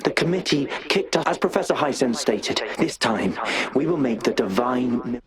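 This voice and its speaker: A really husky rough researcher in a video game, grave, urgent, obsessed with the work. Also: male voice, medium pitch, animated, moderate pace. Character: driven, solemn male research scientist. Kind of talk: English video game voice acting.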